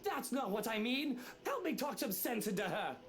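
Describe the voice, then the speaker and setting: A pompous, indignant master of the house from a visual novel, acted by a man. He is haughty and melodramatic, with a pitch that swings widely. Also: sing-song, moderate pace, fairly bright tone, heavily acted. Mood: exasperated.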